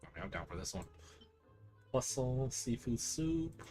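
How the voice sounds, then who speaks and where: A game streamer talks casually while playing and reads dialogue out loud. The pace is slow and relaxed. Light music plays in the background. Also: mid-range voice. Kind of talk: casual live game commentary, reading dialogue aloud.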